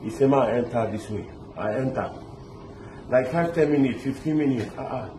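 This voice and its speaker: A middle-aged man from Lebanon telling his story in an animated, halting way, restarting often and mixing in pidgin words. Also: mid-range voice, noisy background. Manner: excited, halting, many restarts. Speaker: interviewee recounting a kidnapping. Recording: echoey with loud street ambience.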